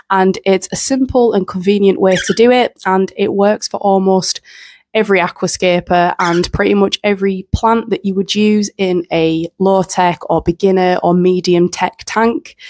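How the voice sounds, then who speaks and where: A woman in her forties hosting an aquarium channel, explaining fertilisers to the camera in a chatty, self-deprecating way, her voice husky from a cold, speaking into a handheld mic at home beside her planted tanks. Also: medium pitch, a little mellow, no echo. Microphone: handheld mic held close.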